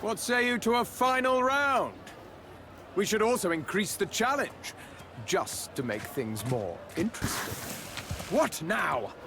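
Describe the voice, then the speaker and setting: Grandiose king for a game, excitable and bossy, pitched high, outdoor noise behind him. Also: a little slow, heavily acted. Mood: pleased, regal. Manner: imperious, grandiose. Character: haughty, excitable Hungarian crusader king. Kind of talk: theatrical video game acting.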